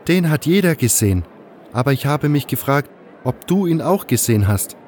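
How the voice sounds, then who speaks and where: Fantasy radio play: hopeful male believer voiced by a man, conversational, pitch a bit raised, noisy background. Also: lively intonation, moderate pace, a little mellow, lightly acted. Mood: earnest, hopeful. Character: young male cult disciple friend, earnest, thoughtful, hopeful believer. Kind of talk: fan audio drama fantasy acting.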